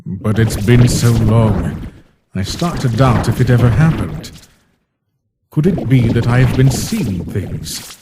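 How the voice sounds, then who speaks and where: A man voicing a lonely, gentle, weary recluse in a comic dub, speaking in a measured, formal, thoughtful way. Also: fairly low pitch, heavy background noise, close to an everyday voice. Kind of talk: slow dramatic monologue in a comic dub.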